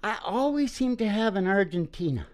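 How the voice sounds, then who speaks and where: A man's voice: high-pitched, animated, normal speed. A man chatting casually and ramblingly between songs as host of a live music show, folksy and often chuckling.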